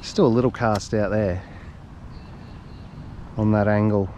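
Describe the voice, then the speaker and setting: A man with an Australian accent, talking to himself in casual running commentary as he fishes from a reservoir bank, chatty and quick to laugh. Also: on the low side, fairly even intonation, a little mellow, slight background noise. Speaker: fishing vlogger. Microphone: head- or chest-mounted action camera.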